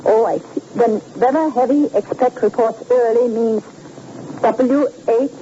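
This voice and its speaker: An anxious, baffled crook's accomplice in a vintage radio drama. His tone is mellow and dark, and the old broadcast sounds muffled with a faint hiss. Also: very high voice, measured pace. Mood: uneasy. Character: nervous puzzled male crook accomplice. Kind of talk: 1940s radio acting.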